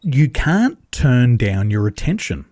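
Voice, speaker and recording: An Australian man talks in a slightly husky voice, close and dry, as a podcast guest on a video call. He tells stories with gusto, jumping into higher voices for quoted dialogue and hammering points home with repetition. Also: sing-song, takes it slowly, warm tone, no echo. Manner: acts out dialogue with big pitch jumps, emphatic repetitions. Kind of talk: animated conversational storytelling.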